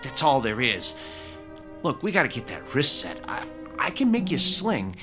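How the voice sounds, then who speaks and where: An actor playing a loyal male boxing handler in an old radio drama, halting and soft-spoken. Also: mid-range voice, huge pitch swings, music in the background. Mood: worried.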